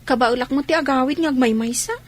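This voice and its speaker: A woman's voice: high-pitched, fast talker. An actress with an Ilocano accent playing an anxious, dutiful young female student in a radio drama. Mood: jealous, hurt.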